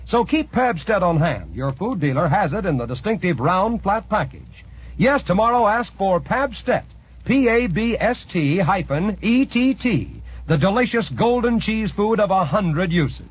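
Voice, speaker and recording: A man reading a scripted 1940s radio commercial as a polished announcer's sales pitch. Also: big pitch swings, a little slow, husky. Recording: muffled 1940s radio broadcast.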